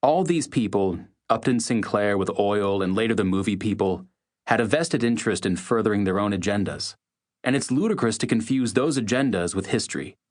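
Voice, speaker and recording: Emphatic low-pitched man reading testimony. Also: brisk. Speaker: audiobook narrator. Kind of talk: first-person oral history testimony read as scripted narration.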